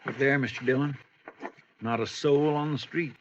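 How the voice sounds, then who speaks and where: An actor playing a loyal, uneasy deputy with a folksy in an old-time radio western. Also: male voice, medium pitch, steady tone, moderate pace, lightly acted. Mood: wary. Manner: deferential, folksy. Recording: muffled, noisy old radio recording.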